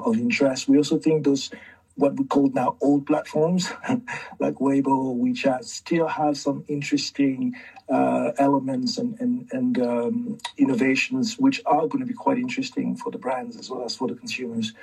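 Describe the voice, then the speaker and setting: A man's voice: mid-range voice, little pitch movement, moderate pace, gravelly, darkish tone, breathy edge, recorded from a distance, rough recording. A man giving a casual introduction as a strategist speaking on a panel.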